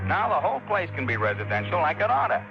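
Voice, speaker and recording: An actor playing an opinionated, folksy cab driver with colloquial talk in a 1950s radio drama. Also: male voice, fairly high pitch, huge pitch swings, quick pace, gravelly, over music, exaggerated voice. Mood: folksy, satisfied. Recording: dull old radio recording.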